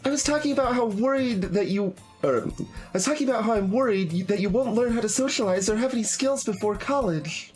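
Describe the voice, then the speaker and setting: Bubbly, caring schoolgirl friend for an anime game, played by a man, pitched high, pleading and scolding, music behind. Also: a little fast, darkish tone, close to an everyday voice. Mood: worried pleading. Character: cheerful, clingy, caring teenage girl, childhood friend. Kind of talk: anime visual novel character voice.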